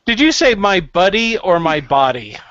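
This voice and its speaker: A man's voice: sits high, very animated, normal speed, fairly bright tone. A man co-hosting a podcast, teasing the others and laughing easily. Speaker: podcast co-host. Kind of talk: casual banter. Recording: dull lo-fi audio.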